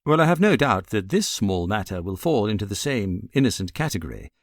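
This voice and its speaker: A British man voicing a brilliant, self-assured gentleman detective in an audiobook, precise and theatrical as he sets things out. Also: lowish voice, very animated, brisk, dry recording, clean studio sound. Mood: brisk, expository. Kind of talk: audiobook character reading.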